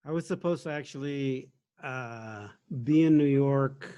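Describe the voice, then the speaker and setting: A man's voice: mid-range voice, unhurried, mellow, smooth, muffled recording. A man chatting casually on a video call, friendly and laughing easily. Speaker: newly appointed university regent.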